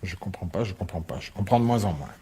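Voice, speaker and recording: A man's voice: low voice, animated, quick pace, mellow, slight background noise. An actor playing an agitated, sarcastic middle-aged divorced father in a French radio drama, biting and insistent. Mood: worried, subdued. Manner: biting, insistent, repeats himself.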